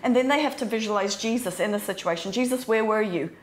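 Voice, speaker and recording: A woman's voice: mid-range voice, quick pace. A young woman telling stories animatedly, acting out the voices of the people in them, in a seated discussion. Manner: animated storytelling with quoted voices. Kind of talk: conversational discussion. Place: small room with sofas, indoors. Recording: roomy indoor sound.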